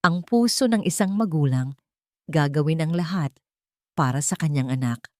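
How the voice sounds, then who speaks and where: A woman voicing a gentle, humble nanny in an audio drama, speaking softly, calmly and respectfully. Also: deep voice, moderate pace, dry recording, clean studio sound. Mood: forgiving. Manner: soft, respectful, calm. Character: gentle, humble young nanny, soft-spoken and forgiving.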